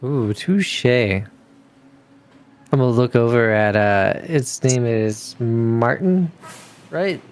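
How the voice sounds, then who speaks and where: A man's voice: medium pitch, slow, long pauses, clear, resonant tone. A man playing a tabletop role-playing game, talking casually in character with the group.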